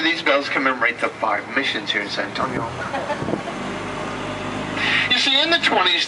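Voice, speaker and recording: Older man, upstate New York accent, joking boat tour guide, heard from a distance, heavy outdoor noise. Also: medium pitch. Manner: folksy, joking, addresses passengers as folks. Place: open-air tour boat on a city river.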